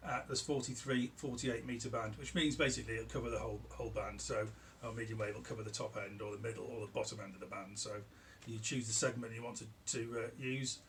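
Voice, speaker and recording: A middle-aged man giving a casual, informal product demonstration in a small indoor workshop, explaining haltingly and repeating words for emphasis. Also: fairly low pitch, fairly even intonation, fairly bright tone. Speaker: electronics builder presenting their own product. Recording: clear background noise in a room.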